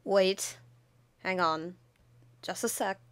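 A woman's voice: mid-range voice, takes it slowly, fairly bright tone, clear, resonant tone, no echo. A woman playing a cocky, snarky young tough woman in a parody anime fan dub. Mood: snarky, irritated.

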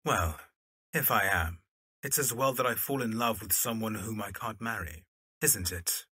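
A man's voice: lowish voice, moderate pace, sharp tone, slightly rough, no room sound, clean studio sound. A man playing a courteous, reserved young musician and fond brother in a period drama. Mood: reserved, wry. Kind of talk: period radio drama acting.

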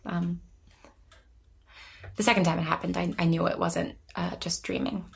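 An actress playing an anxious, lonely woman in her twenties, speaking haltingly and self-deprecatingly in a naturalistic audio drama. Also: fairly low pitch, flat delivery, vocal fry. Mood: hesitant, reflective. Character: anxious, lonely young woman who vanishes through time.

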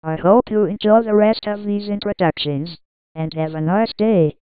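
A woman's voice: medium pitch, normal speed, clear, resonant tone, close to an everyday voice. A synthetic female voice playing a friendly, robotic weather announcer. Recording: dull lo-fi synthesizer audio.

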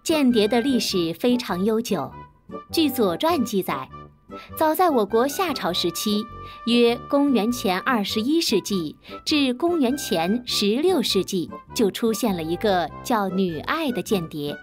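A woman reading an explanatory segment for children in a bright, instructive manner. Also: on the high side, big pitch swings, unhurried. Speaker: knowledge-segment narrator. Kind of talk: scripted explanatory narration. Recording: children's music underneath.

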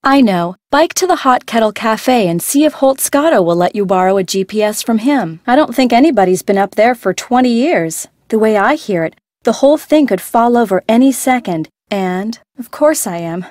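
Lady doing a stubborn boat owner in a game, blunt and matter-of-fact, higher voice, no room sound. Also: expressive, normal speed, few pauses, darkish tone, dry recording, studio quality, lightly acted. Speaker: game voice actor. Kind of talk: video game dialogue.

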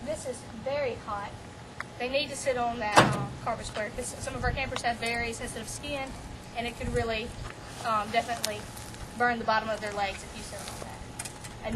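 High-voiced woman teaching outdoors. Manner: practical, brisk, raising her voice to a group. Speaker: camp staff trainer. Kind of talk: outdoor instruction to counselors. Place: outdoors at a lakeshore. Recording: loud outdoor background noise.

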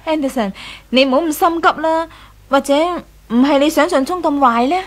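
A woman's voice: high voice, brisk, close to an everyday voice. A woman with a Hong Kong Cantonese accent playing a devoted, coaxing young woman secretly in love, in a 1980s radio drama. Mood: soothing. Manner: coaxing, tender, earnest. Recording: 1983 radio drama with music behind.

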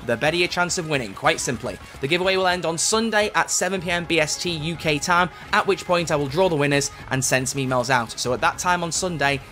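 A man's voice: on the high side, a bit bright. A man from the UK, a gaming YouTuber, breaking down a game update in an upbeat, chatty way, his voice a bit off from illness. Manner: upbeat, chatty, self-correcting. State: voice affected by illness.

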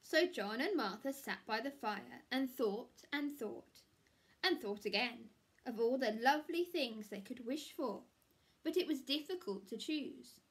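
A woman in her thirties reads a picture book to young children in a teacherly, expressive style. Her voice is fairly high and very unhurried. She is recorded in a small room at home. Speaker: primary school teacher reading a story.

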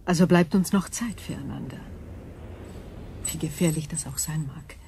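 An actress playing an ancient, calm and probing crystal being in a radio drama, speaking in a measured, formal, breathy way. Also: female voice, sits low, slight background noise, lightly acted. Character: ancient female super-crystal intelligence, calm, probing, wary.

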